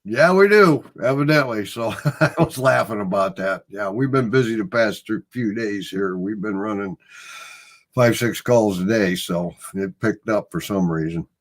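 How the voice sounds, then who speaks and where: Laid-back Midwestern man, lowish voice. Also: moderate pace. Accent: Midwestern American. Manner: easygoing, rambling storyteller, chuckles often. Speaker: host. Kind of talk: casual livestream chat.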